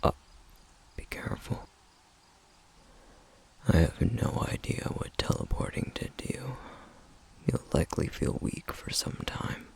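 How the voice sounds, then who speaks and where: A man playing a protective, duty-bound flame demon in an ASMR roleplay, speaking in a hushed, gravelly, halting and intense way. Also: low voice, huge pitch swings, exaggerated voice. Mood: careful, explaining. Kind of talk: ASMR roleplay, scripted character acting.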